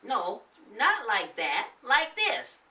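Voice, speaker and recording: Storybook kid role, man performing: brash, teasing young boy, high swooping voice, echoey room. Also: wildly swooping pitch, takes it slowly, sharp tone, far from the mic, exaggerated voice. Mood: teasing, bossy. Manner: giggling, snickering bravado. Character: bold, teasing young boy, cocky trick-or-treater.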